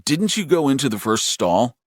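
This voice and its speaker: A man's voice: medium pitch, big pitch swings, normal speed, no room sound, clean studio sound, pitched above the performer's own voice. A man voicing a patient, protective older brother in an audiobook, coaxing and reassuring. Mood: exasperated, coaxing. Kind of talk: audiobook character voice.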